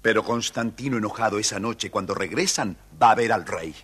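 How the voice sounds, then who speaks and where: A man's voice: lowish voice, a little fast, a bit bright, husky. A man reading a scripted children's story as its narrator.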